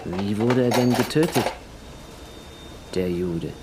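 A man's voice: lowish voice, takes it slowly, background noise. A man playing a casual, bigoted auto painter in a radio crime drama, offhand and slightly defensive.

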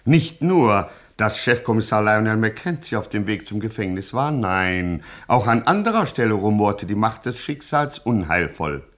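Guy narrating a comedy crime radio play, wry, really animated melody. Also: on the low side, moderate pace, hardly any pauses.

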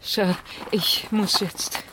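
A slow-spoken older woman as a respectable landlady in a radio drama. She is frightened and hesitant, with a medium-pitched voice that halts mid-thought. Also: steady tone, background noise. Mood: startled, uneasy. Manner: hesitant, halting.

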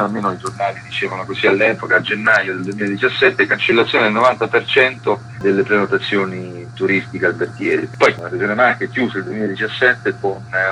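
A man's voice: fairly low pitch, bright, forceful tone, slight background noise, poor audio. A man explaining things as an interview guest on the radio. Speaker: festival curator, interview guest.

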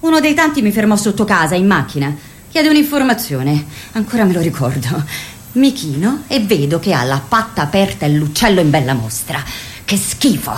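A woman's voice: fairly low pitch, very animated, normal speed, slight background noise. An actress playing a frank woman who recounts frightening girlhood memories in a radio theatre monologue. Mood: fearful, disgusted.

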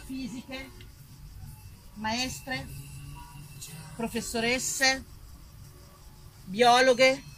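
A woman performing a storytelling monologue on stage, lively and ironic as she talks straight to her audience. Her voice is bright and fairly high. Faint music runs underneath. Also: rough recording. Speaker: actress and author performing a monologue.

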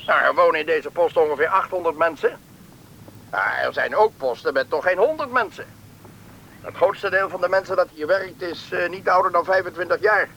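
An actor playing a helpful, talkative settlement official who politely explains things to newcomers in a Dutch radio play. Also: male voice, high-pitched, pushed and bright, poor audio. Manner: explanatory, polite, brisk guide. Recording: old radio play, faint background noise.

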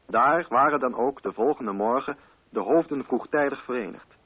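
A man's voice: mid-range voice, normal speed, husky, phone-quality sound. A man narrating a literary radio drama from a script. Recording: band-limited radio recording.

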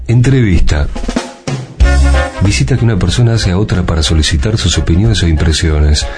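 Low-voiced man announcing over music. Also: moderate pace. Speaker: announcer of the show's segment. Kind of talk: scripted radio jingle narration.

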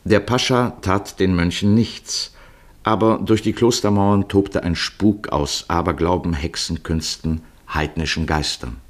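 Low-voiced man narrating solemnly. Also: steady tone. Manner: solemn, measured, poetic. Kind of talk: scripted literary radio play narration.

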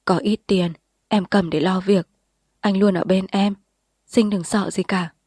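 Woman doing a bold scheming village man, raised voice, forceful, dry close sound, storybook reading. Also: mid-range voice, fairly even intonation, a little slow, breathy edge, no room sound. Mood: coaxing. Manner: heated, confrontational.

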